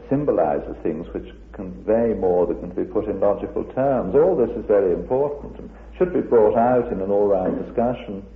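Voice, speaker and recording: A man giving an unscripted scholarly interview with measured, academic phrasing and some hesitancy. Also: lowish voice. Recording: muffled old radio interview, roomy echo.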